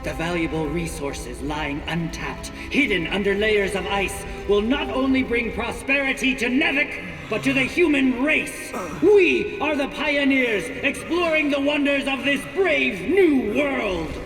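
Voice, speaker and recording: An actor voicing a lofty, propagandistic corporate leader making a grand speech to colonists in a video game. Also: male voice, very high voice, lively intonation, unhurried, few pauses, a bit bright, distant mic, exaggerated voice. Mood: exalted. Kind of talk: scripted video game acting, broadcast speech. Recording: echoey hall reverb, music behind, from afar.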